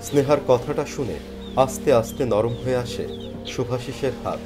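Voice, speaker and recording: A man's voice: medium pitch, moderate pace. A man giving dramatic, scripted first-person narration of a story. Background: background music.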